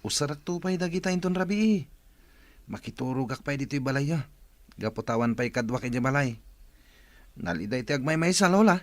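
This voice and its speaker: A man playing a polite young villager in a radio drama, pleading gently with his elders. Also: mid-range voice, expressive, fairly quick, long pauses, dry recording, natural voice. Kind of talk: Ilocano radio drama acting.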